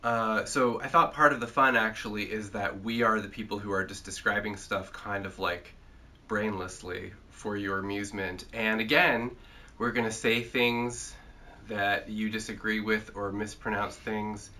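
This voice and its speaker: A man's voice: on the low side, fairly even intonation, normal speed, pushed and bright. A man hosting a casual chat, congested from a cold, rambling and joking with lots of filler sounds. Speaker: host.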